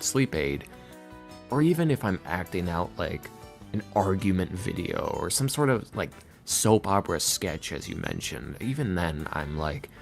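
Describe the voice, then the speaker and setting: A man being interviewed on a casual podcast as a guest, speaking in a halting, reflective, self-deprecating way. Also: sits low. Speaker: interview guest, ASMR roleplay creator.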